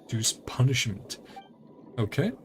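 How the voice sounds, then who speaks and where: A man giving casual, rambling gameplay commentary as a streamer, thinking aloud as he plays, with a creaky voice. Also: mid-range voice, lively intonation, measured pace, slight background noise. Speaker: video game streamer. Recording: game music behind the voice. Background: video game music.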